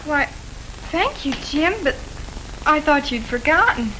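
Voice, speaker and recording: An actress playing an earnest, wounded high school girl in an old educational film, speaking with plaintive emotion. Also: animated, unhurried, sharp tone, exaggerated voice. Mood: surprised. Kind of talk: 1950s educational film acting. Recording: noisy 1950s film soundtrack.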